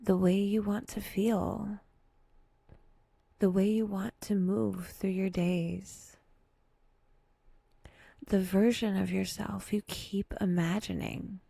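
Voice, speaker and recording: A woman softly leading a guided meditation in a breathy, intimate voice that often dips toward a whisper, unhurried with long pauses and gentle wry asides. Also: mid-range voice, flat delivery, no room sound.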